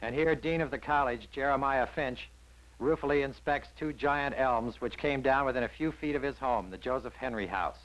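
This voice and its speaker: A man with an American accent narrates a campus newsreel in 1960s style. His delivery is scripted and polished, with a wry touch, at a middling pitch. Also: normal speed, a bit bright, low-quality recording.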